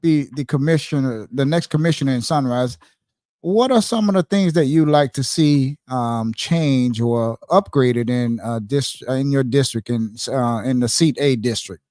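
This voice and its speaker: A man's voice: medium pitch, a little slow, warm tone, dry recording, studio quality. A man hosting a podcast interview, speaking hesitantly with many fillers and restarts. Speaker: podcast host.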